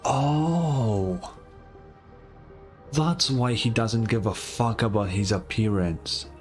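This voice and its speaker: Give me a blunt, casual male game streamer with a low voice and faint music behind him. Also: slow pace. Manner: blunt, sweary. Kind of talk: casual live game commentary.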